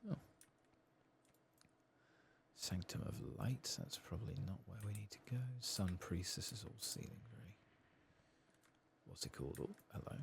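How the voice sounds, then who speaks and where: A man giving soft-spoken, sometimes whispered ASMR commentary on a game, murmuring to himself and reading text aloud. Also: sits low, moderate pace, gravelly, long pauses, slight background noise. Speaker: ASMR gaming channel host.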